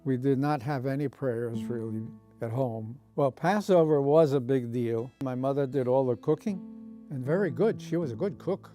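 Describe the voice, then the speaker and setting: Elderly man in his nineties, dark voice. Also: medium pitch, faint music behind. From Austria. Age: 90s. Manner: unhurried storytelling with pauses. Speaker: Holocaust survivor giving testimony. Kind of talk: recorded oral history.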